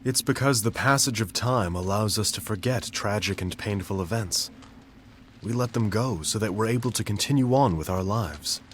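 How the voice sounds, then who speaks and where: Actor voicing a pianist, game cutscene, low voice, soft-spoken, philosophical. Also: male voice, normal speed, slight background noise, close to an everyday voice. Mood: reflective. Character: reflective young male pianist. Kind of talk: video game cutscene voice acting.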